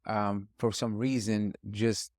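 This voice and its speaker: A man from Northern California speaking as a chef in a casual interview, in an earnest, reflective and halting way. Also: lowish voice, level tone, darkish tone, no echo, clean studio sound. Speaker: chef and restaurant guest.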